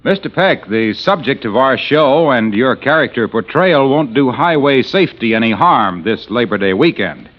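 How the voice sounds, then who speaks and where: Lively old-time radio announcer. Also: male voice, medium pitch, normal speed, few pauses. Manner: smooth, salesy. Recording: dull old radio broadcast.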